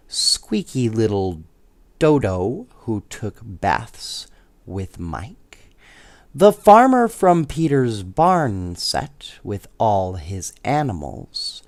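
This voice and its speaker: Slow, expressive man reading bedtime stories. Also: lowish voice, dry recording. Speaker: bedtime story reader. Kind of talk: storybook read-aloud with casual asides.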